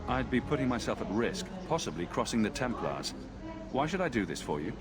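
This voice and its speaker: An actor playing a bold, businesslike adventurer who speaks directly in a fantasy video game. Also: male voice, mid-range voice, big pitch swings, fairly quick, over loud music, close to an everyday voice. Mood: hard-nosed. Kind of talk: dramatic video game acting.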